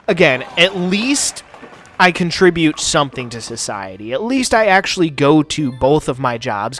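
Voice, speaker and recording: A man's voice: medium pitch, a bit bright. Profane rambling young guy, music behind. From United States. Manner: profane, rambling, filler-heavy. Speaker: gaming channel host. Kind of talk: casual rambling chat. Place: home basement studio.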